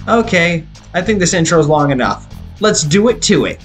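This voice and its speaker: A man reading a scripted movie review as a video reviewer, in a measured, emphatic, articulate way. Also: a little high, lively intonation. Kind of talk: scripted film review narration. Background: rock guitar music.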